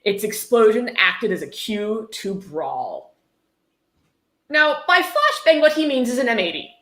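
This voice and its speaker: A woman's voice: a little high, sing-song, a little slow, a bit bright. A woman hosting a casual livestream reading, adding wry, sarcastic and blunt commentary as she goes. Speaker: host reading a book aloud with commentary. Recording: roomy, echoey sound.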